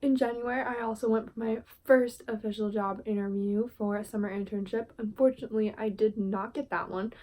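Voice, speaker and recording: Young woman in her twenties, casual vlogger, talkative with lots of "like", mellow voice. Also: mid-range voice, rough recording. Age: 20s. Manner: chatty, fillers like "like". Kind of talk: casual vlog recap.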